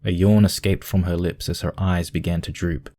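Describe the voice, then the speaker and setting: A man's voice: low voice, steady tone, normal speed, warm tone. A man narrating a scripted piece of fiction as a storyteller.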